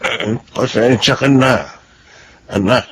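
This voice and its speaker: A man playing a gentle, apologetic older father in a radio drama, with a Filipino accent. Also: mid-range voice, slow, a little hiss or hum, lightly acted. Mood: apologetic, concerned.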